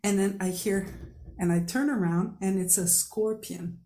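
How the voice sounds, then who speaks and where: A woman's voice: mid-range voice, fairly even intonation, a little slow, dark tone. A woman from Quebec, a native French speaker with a Quebec French accent, hosting a podcast in a chatty, laughing, storytelling way. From Quebec, Canada. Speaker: podcast host. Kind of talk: casual interview chat.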